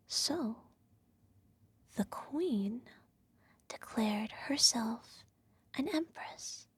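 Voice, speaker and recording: A woman playing a regal, self-righteous evil empress who soothes her child with a whispered ASMR bedtime story in a measured, gently condescending way. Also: on the high side, no room sound, full character voice. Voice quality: soft, breathy whisper. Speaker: ASMR roleplay performer.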